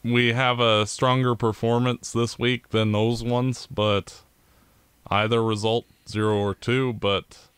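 A man co-hosting a casual sports podcast, talking in a gloomy, measured way. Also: medium pitch, level tone, fairly bright tone, clean tone, no echo. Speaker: podcast co-host.

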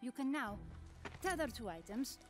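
A woman's voice: on the high side, sing-song, moderate pace. A woman playing a brainy, awkward middle-aged scientist in a video game, speaking in clipped, formal phrases that drop small words. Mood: matter-of-fact. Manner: clipped, formal, article-dropping speech. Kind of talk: video game cutscene acting. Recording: loud music underneath.

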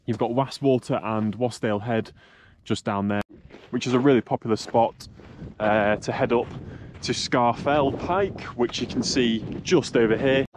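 A man's voice: medium pitch, normal speed. A young man giving casual vlog commentary as a hiker, speaking into a camera held at arm's length on an open mountainside. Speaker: hiking vlogger. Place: open snowy mountainside outdoors. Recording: clear wind and outdoor noise.

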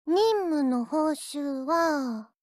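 Haughty little anime ship girl, mobile game. Piping high voice, lively inflection, bratty bragging, dry studio sound. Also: extremely slow, no room sound. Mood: flustered. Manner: boastful, tsundere, ends sentences with a verbal tic. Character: haughty, childish warship girl with animal ears. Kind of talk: Japanese anime game character voice lines.

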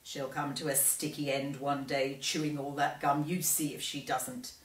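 A woman's voice: a bass voice, flat delivery, normal speed, voice pushed down. A woman voicing a disapproving old grandmother in a storybook, muttering and snappish. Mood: scornful. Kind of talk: storybook character reading. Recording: roomy, distant microphone.

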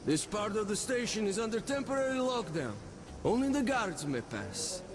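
Male game role: underground station guard, high-pitched and lively, music behind. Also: moderate pace. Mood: matter-of-fact. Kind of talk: video game dialogue.

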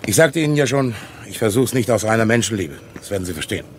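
An actor playing a self-interested company boss in a radio crime drama, patronising and conversational. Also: male voice, lowish voice, expressive, fast, lightly acted. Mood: calculating, patronising. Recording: faint noise, ambience behind.

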